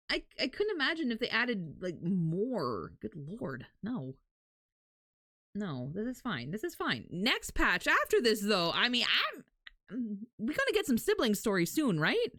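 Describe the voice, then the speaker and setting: A woman's voice: medium pitch, huge pitch swings, unhurried, a bit bright, dry recording, studio quality. A woman livestreamer chatting casually and informally as she reacts to a game announcement for her viewers. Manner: informal, mild swearing.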